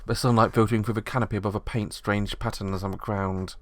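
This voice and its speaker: A man's voice: on the low side, fairly even intonation, quick pace, dry recording. A man reading the narration of a visual novel aloud, steadily, with small slips.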